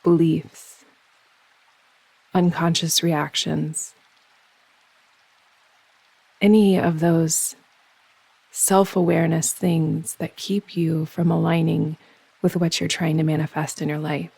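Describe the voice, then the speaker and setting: A woman leads a guided meditation in a husky, very even voice. She gives soothing instructions in unhurried phrases with pauses between them. The recording is close and dry. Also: low-pitched, dry recording, poor audio. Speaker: yoga nidra meditation guide.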